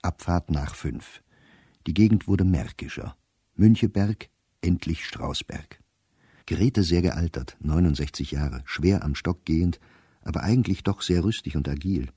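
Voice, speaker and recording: Very deep, mellow male diarist. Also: breathy edge, dry recording, studio quality, heavily acted. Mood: matter-of-fact. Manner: measured, precise, clipped telegraphic phrasing. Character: observant middle-aged male diarist, dry and wry. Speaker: actor reading a diary. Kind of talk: literary diary narration in a radio play.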